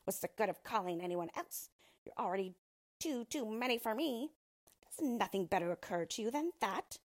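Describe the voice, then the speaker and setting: A woman's voice: medium pitch, animated, moderate pace, lightly acted. A woman voicing a cranky, ungrateful old male dwarf in a storybook, screaming, snapping and scolding. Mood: snappish.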